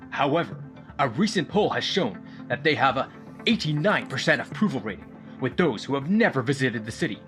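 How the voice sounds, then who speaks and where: An enthusiastic male television reporter in an audio drama. His mid-pitched voice swings animatedly, polished and promotional, over music. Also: a bit bright.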